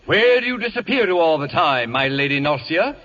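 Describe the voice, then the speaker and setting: An actor playing an imperious, acid-tongued celebrity houseguest in a 1940s radio comedy, with a haughty, cutting manner. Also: male voice, fairly high pitch, very animated, brisk, sharp tone, big-room sound. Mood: irritated. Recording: dull vintage radio recording.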